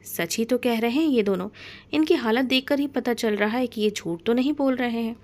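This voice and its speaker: A woman's voice: on the high side, normal speed, pitched above the performer's own voice, close to an everyday voice. An outspoken young woman from a storybook romance, acted out by a woman narrator. She is sympathetic and stands up for others, pleading and admonishing. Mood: sympathetic insistence.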